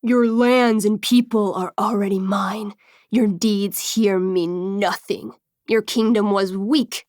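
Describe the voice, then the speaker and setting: A woman's voice: mid-range voice, steady tone, darkish tone, no echo, clean studio sound, lightly acted. Woman putting on a gloating, huge minotaur tyrant. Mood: gloating, menacing. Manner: slow, gloating, theatrical.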